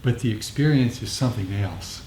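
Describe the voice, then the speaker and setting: Mellow, low-voiced man in an echoey room. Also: normal speed. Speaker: meditation group teacher. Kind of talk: informal dharma discussion and meditation guidance. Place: meditation group meeting room. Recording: echoey room, faint background noise.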